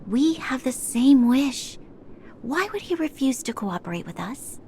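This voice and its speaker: A woman's voice: high voice, big pitch swings, unhurried, a little mellow, heavily acted. Game role: sensible young woman, slightly raised register, persuasive, kind, ambient noise behind. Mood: earnest, concerned. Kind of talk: video game voice acting.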